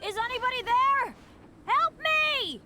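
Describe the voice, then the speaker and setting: Young boy adventurer, game character, high voice, lively swinging pitch, earnest, apologetic, good-natured. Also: takes it slowly, exaggerated voice. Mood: urgent. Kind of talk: animated video game voice acting. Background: music.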